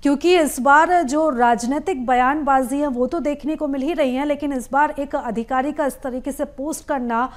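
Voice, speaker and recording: A woman's voice: sits high, fairly even intonation, normal speed, hardly any pauses. A woman presenting live television news as an anchor.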